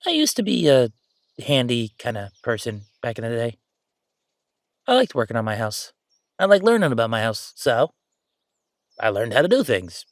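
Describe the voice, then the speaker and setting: A man's voice: mid-range voice, very animated, moderate pace, pauses often, dry recording, clean studio sound. A man playing a chatty, easygoing ghost who died at thirty-five, rambling casually with wry asides in an audio roleplay. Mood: chatty, upbeat. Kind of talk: conversational audio roleplay monologue.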